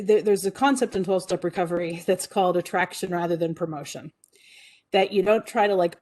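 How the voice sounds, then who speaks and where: A woman's voice: mid-range voice, a little mellow. A woman in her forties giving a talk, telling a personal story in an earnest, reflective way with frequent fillers and restarts. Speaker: guest speaker.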